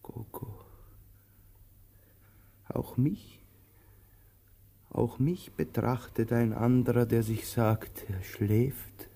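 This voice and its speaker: A man playing a weary, anxious, pensive tramp in a radio play, speaking with halting, brooding pauses. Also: mid-range voice, steady tone, vocal fry, dark tone, slightly rough, heavily acted. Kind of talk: German radio play acting.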